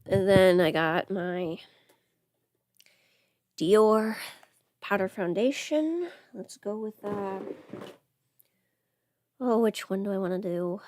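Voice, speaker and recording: A woman's voice: mid-range voice, slow pace, pauses often, darkish tone, dry recording, clean studio sound. A woman thinking aloud in a casual, rambling livestream chat as she gets ready, swearing lightly. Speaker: livestream host.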